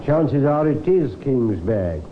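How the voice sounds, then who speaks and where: An actor playing a sober, methodical police inspector in an old-time radio drama, with formal, deliberate speech. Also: male voice, medium pitch, very animated. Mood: pondering. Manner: formal, deliberate, clipped questioning. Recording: tinny, noisy old radio recording.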